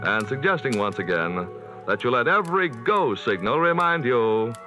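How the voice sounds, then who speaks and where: A man's voice: mid-range voice, big pitch swings, normal speed, music in the background. A man speaking as a 1940s radio announcer, with a polished, emphatic salesman's delivery for the sponsor. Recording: dull vintage 1940s radio broadcast.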